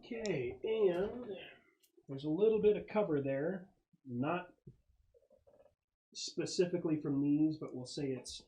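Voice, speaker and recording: A man's voice: on the high side, a little slow, long pauses, warm tone, low-quality recording. A man speaking as game master, explaining rules and narrating the action in a conversational way.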